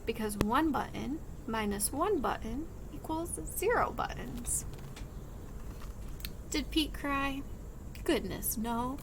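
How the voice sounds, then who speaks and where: A high-voiced woman reads a storybook for small children in a slow, very animated way. She sounds like a preschool teacher, sing-song and expressive as she explains simply. There is clear noise behind her. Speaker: early childhood teacher reading aloud. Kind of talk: animated children's read-aloud with singing and counting.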